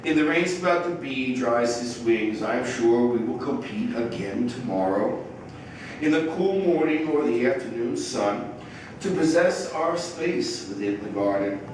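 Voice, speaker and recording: A middle-aged man reads poetry slowly, with deliberate phrasing. He is heard from a distance in a very echoey room, with clear noise in the recording. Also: medium pitch, expressive. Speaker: poet. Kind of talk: poetry reading with brief spoken introduction.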